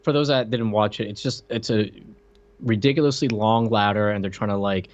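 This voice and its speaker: A man's voice: lowish voice, brisk. A man co-hosting a podcast, recapping a film in casual, blunt, informal talk. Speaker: podcast co-host. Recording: dull lo-fi voice audio.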